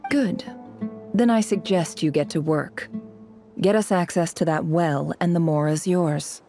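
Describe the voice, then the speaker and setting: A woman playing a cold, cunning young female avenger in a video game, speaking in a smooth, measured, disdainful way. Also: on the low side, warm tone, soft background music. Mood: cool, calculating.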